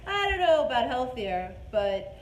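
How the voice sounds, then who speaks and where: A woman's voice: high voice, big pitch swings, unhurried. A woman in her forties hosting a dating advice show, chatting casually and emphatically in an echoey room. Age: 40s. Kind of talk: casual live chat. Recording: echoey room with clear background noise.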